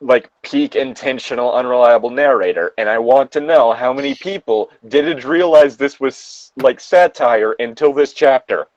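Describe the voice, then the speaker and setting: A man's voice: mid-range voice, normal speed, hardly any pauses, darkish tone, highs cut off. A man co-hosting a casual comic read-aloud, swearing freely and commenting in an incredulous, easily amused way. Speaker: podcast co-host reacting to a story.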